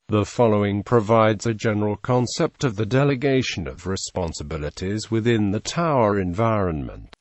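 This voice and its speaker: A man reading scripted encyclopedic narration in a measured, impersonal, formal manner. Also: on the low side, a little mellow, no echo.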